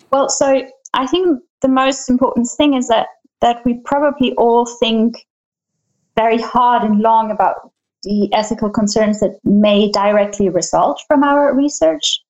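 Woman, panel guest, measured and hesitant, lots of ums. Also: a little high, highs cut off. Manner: thoughtful, hesitant with many fillers. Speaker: panel guest, field researcher. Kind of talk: panel discussion answer.

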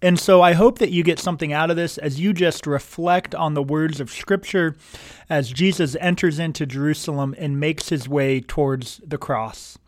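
Dry, slightly high male church speaker. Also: normal speed, no echo. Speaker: church speaker introducing the reading. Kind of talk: sermon introduction.

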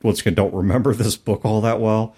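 A man's voice: on the low side, fairly even intonation, a little fast, dark tone, slightly rough. A man hosting a podcast, talking casually and reflectively in a self-deprecating way. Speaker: podcast host. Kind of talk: casual tabletop gaming podcast talk.

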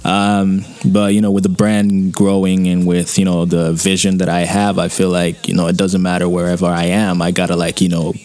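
A young man from Nigeria with a Nigerian accent and a very low, deep voice. He talks about himself as a guest in a relaxed interview, easygoing and unhurried, filling gaps with "you know what I mean". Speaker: musician guest. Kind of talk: casual interview talk.

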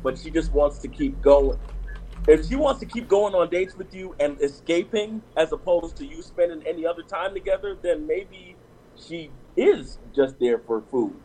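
A man's voice: fairly high pitch, lively intonation, moderate pace, mellow, a little hiss or hum, poor audio. A man on a discussion panel talking casually and telling personal stories, with lots of restarts and "you know what I'm saying.".

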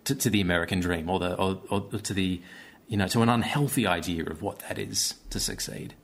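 Australian male, low voice, earnest interviewee, feels his way through sentences. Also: fairly quick, gravelly. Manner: hesitant, searching for words, earnest. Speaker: guest, theatre maker and actor. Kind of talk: conversational interview.

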